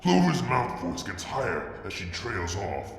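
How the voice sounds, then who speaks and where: A man reading a fanfic aloud in a soft, halting first-person narration. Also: fairly low pitch, big pitch swings, creaky, reverberant, in a large hall, over music. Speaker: fanfic reader. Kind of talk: dramatic story reading.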